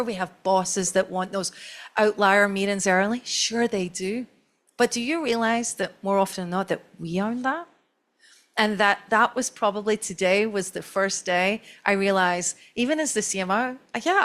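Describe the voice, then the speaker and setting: A woman's voice: mid-range voice, a bit bright. A middle-aged Scottish woman, a marketing chief, telling a long, chatty, self-deprecating story on a stage panel. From Scotland.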